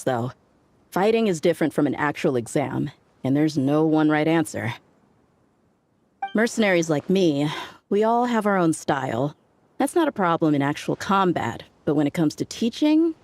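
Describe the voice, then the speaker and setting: Chill merc lady, low voice, jokey and easy. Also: exaggerated voice. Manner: relaxed, casual and joking. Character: easygoing confident female desert mercenary. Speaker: mercenary. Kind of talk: video game dub.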